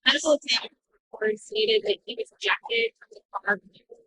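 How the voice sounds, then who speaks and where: A woman's voice: fairly high pitch, very animated, moderate pace, bright, forceful tone, slight background noise, rough recording. A woman working as a reporter, putting questions at a press conference.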